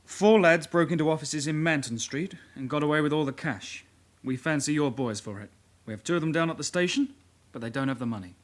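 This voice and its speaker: An actor playing a calm, persistent young detective constable with an English accent and a measured, dry manner in a radio drama. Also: male voice, on the high side, natural voice. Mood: businesslike. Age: young adult.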